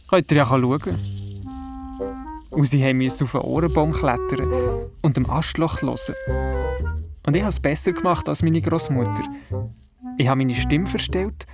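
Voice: mid-range voice, lightly acted. Someone playing a curious Swiss boy telling stories. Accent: Swiss German. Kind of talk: children's radio play narration and dialogue.

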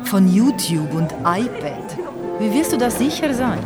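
A woman's voice: medium pitch, sing-song, a little slow, loud music behind the voice, over a noisy crowd. An actress playing a sceptical, down-to-earth female confidante in a radio drama.

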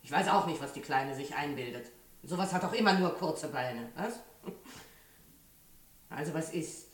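An actor playing a nosy, grumbling neighbour with a blunt, familiar manner in a 1960s German radio play. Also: male voice, a little high, animated, normal speed, natural voice. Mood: gossipy. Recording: echoey old radio recording, slightly distant.

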